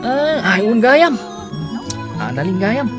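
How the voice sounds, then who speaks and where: A woman's voice: on the high side, sing-song, slow pace, music in the background. A woman with an Ilocano accent acting a friendly, teasing young relative in a radio drama.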